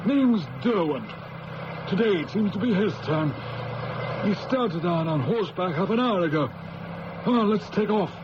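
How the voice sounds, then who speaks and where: An actor playing a calm, patient male police inspector with a steady, quietly menacing way of talking, in a 1970s radio drama. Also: high voice, unhurried, pauses often, highs cut off. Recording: hissy old radio broadcast.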